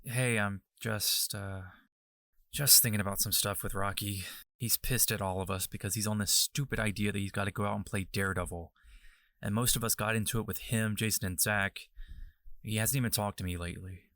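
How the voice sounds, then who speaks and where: A worried young hero, played by a man, bright and tense in tone, stumbling and hesitating as he talks. Dry close studio sound. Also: on the low side, fairly even intonation, fairly quick, no echo. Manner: hesitant, anxious.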